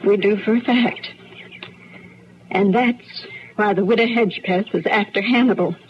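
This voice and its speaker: A woman's voice: medium pitch, unhurried, close to an everyday voice. A woman playing a proud, plain-spoken frontier farm wife with a rural American accent in an old radio western. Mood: resigned, earnest. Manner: plain-spoken, folksy, firm. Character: proud, strong-minded frontier farm wife fighting for her husband. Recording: muffled old radio broadcast, faint noise.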